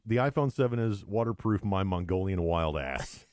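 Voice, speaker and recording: A middle-aged man co-hosting a podcast in casual, joking, sarcastic chat, telling stories with animated delivery. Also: low voice, normal speed, dry recording, studio quality. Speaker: podcast co-host.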